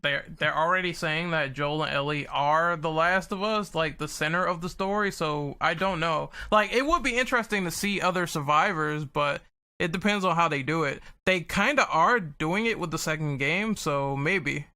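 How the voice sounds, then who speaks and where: A man's voice: a little high, moderate pace, bright, forceful tone, clean tone. A man talking casually as a panelist in a podcast group discussion.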